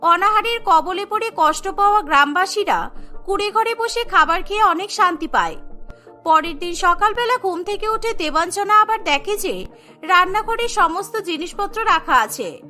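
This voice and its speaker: Female narrator, scripted cartoon storytelling, extremely high pitch, bright tone, light music behind. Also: animated, normal speed.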